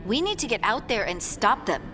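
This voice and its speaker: A woman's voice: a little high, big pitch swings, brisk. A woman playing an earnest, insistent scout in a fantasy video game party. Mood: urgent. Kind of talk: video game cutscene acting. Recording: loud music behind the voice.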